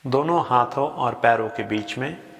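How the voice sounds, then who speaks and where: Male meditation instructor: gentle, very slow delivery of short spaced-out instructions, voice carried in a long reverberant tail. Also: medium pitch, gravelly. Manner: unhurried, gentle, short phrases with long pauses. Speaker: yoga nidra guide. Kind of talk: guided sleep meditation, slow spoken instructions.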